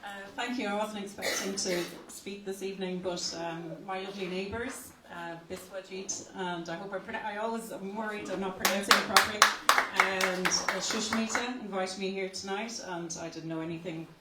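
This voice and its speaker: A woman's voice: mid-range voice, steady tone, moderate pace, few pauses. A woman giving a modest, self-conscious impromptu speech as a neighbour of the hosts. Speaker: neighbour of the hosts, guest speaker. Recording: roomy hall from a distance, loud noise.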